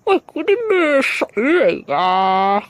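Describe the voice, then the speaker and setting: An actress playing a flustered, bossy building security guard in a radio drama, with a nagging Hong Kong way of speaking. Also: female voice, high voice, sing-song, slow pace, dry recording, full character voice. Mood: disgusted, curious. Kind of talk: Cantonese radio drama acting.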